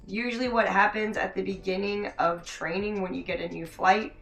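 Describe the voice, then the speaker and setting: A young woman with a raspy, hoarse voice, telling a rambling story in a casual vlog. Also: medium pitch, fairly even intonation, normal speed, fairly bright tone. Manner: chatty, laughing, many likes and restarts. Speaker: vlogger. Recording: roomy echo, faint music behind.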